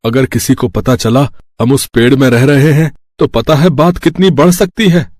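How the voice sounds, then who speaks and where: A cautious, grumbling village husband from a cartoon fable, acted by a man. He protests hesitantly at a middle pitch, recorded dry and close. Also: fairly even intonation, moderate pace, darkish tone, no echo, close to an everyday voice. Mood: disapproving. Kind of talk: animated moral story character dialogue.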